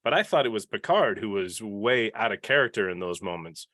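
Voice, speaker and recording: A man talking casually and opinionatedly on a podcast panel, rambling into asides and animated retellings. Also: on the low side, a little fast, dry recording.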